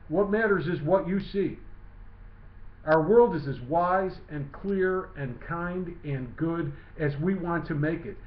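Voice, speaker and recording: A man reading his own literary essay aloud in a measured, declamatory way. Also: high-pitched. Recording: muffled lo-fi, roomy echo.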